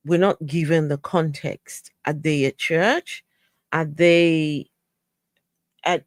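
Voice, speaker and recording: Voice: mid-range voice, no room sound, studio quality. A commentator casually talking over a video, thinking aloud with many restarts and filler words.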